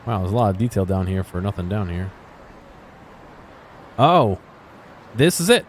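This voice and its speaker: A man giving casual, off-the-cuff commentary as he explores a video game, low-key and musing to himself. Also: sing-song, moderate pace, slight background noise. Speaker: gaming video host.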